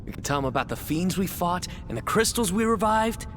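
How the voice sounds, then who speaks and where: An actor playing an upbeat, talkative young adventurer in a video game, with casual delivery. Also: male voice, high voice, expressive, brisk, over music. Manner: casual, chatty. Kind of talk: dramatic video game acting. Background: noisy ambience.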